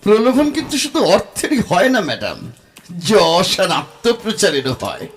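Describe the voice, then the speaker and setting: A sarcastic, combative doubter in an audio drama. His voice sits high and swings widely, with scornful, theatrical force. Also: big pitch swings, normal speed. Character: angry, sarcastic male skeptic challenging a spirit medium.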